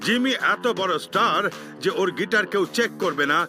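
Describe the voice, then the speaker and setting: A man's voice: high-pitched, expressive, normal speed. A man playing a boastful, blustering cartoon gang boss who repeats a jingling catchphrase. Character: blustering male gang boss with a verbal tic. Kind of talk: exaggerated cartoon voice acting. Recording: music behind the voice.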